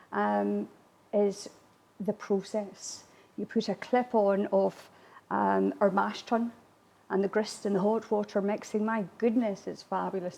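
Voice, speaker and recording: A woman whose first language is Irish Gaelic, answering interview questions in a reflective way with hesitant pauses mid-phrase. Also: medium pitch, vocal fry, warm tone. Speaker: whisky distillery visitor attraction manager. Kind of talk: interview, recounting a business launch.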